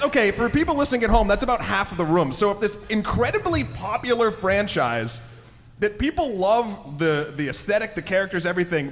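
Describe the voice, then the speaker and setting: A man's voice: high voice, fairly bright tone. A man hosting a live panel discussion, talking informally and addressing the audience. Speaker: podcast host. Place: large convention room with live audience. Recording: echoey hall, clearly noisy.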